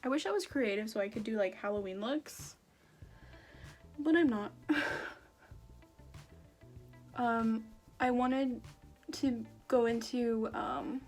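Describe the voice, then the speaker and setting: A young woman with a slightly high, raspy voice from a sore throat. She chats in a loose, rambling way and keeps making jokes at her own expense. Faint music plays under her. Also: darkish tone. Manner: self-deprecating, jokey, rambling. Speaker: beauty vlogger. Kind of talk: casual chat while doing makeup.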